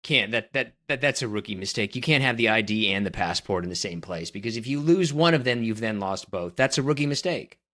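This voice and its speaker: A man's voice: on the low side, expressive, fairly quick, hardly any pauses, a bit bright. A man co-hosting a casual podcast chat, teasing his partner and handing out scores. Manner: teasing, judging and rating his co-host. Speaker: podcast co-host.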